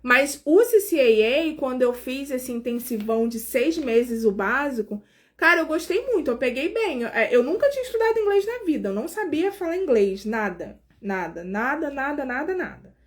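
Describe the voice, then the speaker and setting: Carioca nurse lady in her 40s, high voice, chatty, talks straight to the viewers, kinda tired. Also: lively intonation, normal speed. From Brazil. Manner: chatty, warmly addresses viewers directly, frequent fillers. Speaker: nurse hosting a live stream. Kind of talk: casual live-stream chat with viewers.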